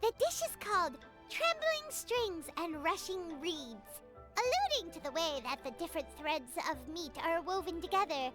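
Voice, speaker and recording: Squeaky little game fairy, extremely high childlike voice, excitable swoops, bubbly, boastful, loud music behind. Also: female voice, moderate pace. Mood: proud, gleeful. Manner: bubbly, refers to herself in third person. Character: tiny cheerful girlish fairy companion, boastful and excitable. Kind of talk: video game voice acting.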